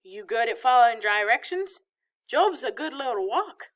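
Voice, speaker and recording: A woman's voice: fairly high pitch, sing-song, normal speed. A woman voicing a feisty, bossy, affectionate old Southern grandmother in a storybook reading, with a folksy, exclaiming manner. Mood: brisk, bossy. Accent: Southern American. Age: elderly. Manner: folksy, scolding, loudly exclaiming.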